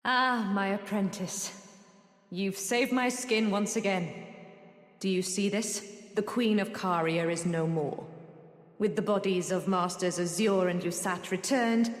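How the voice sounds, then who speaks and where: A woman playing a proud, ambitious sorceress teacher in a video game, speaking fondly to an apprentice in measured, formal, archaic phrases. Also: medium pitch, faint music behind, close to an everyday voice. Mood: fond, stately. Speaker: video game character voice actor. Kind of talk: scripted game dialogue. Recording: echoey hall-like reverb.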